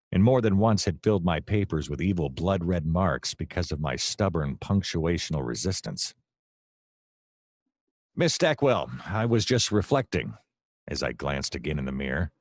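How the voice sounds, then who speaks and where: An actor playing a seedy, self-deluded private detective, narrating a comic noir radio play in a deadpan, melodramatic way. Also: male voice, low voice, no room sound. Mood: wry, brooding. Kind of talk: hardboiled noir first-person narration, comedic radio play.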